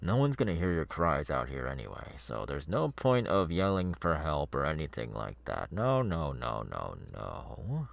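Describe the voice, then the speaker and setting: A man plays a masked demon's henchman in a horror ASMR roleplay, sinister and chatty. The voice is low and gravelly, recorded dry and close. He rambles haltingly and takes his time, soothing and threatening at once. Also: wildly swooping pitch, dry recording, exaggerated voice. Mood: menacing glee. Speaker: ASMR voice actor.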